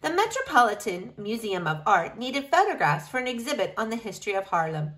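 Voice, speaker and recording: A woman reading a picture book aloud in an expressive, deliberate storytelling style. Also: on the high side. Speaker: reader of a children's picture book.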